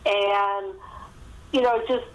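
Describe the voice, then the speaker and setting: A woman's voice: mid-range voice, steady tone, takes it slowly. Woman author on a phone line. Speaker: guest author. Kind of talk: conversational interview answers. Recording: thin phone-line sound.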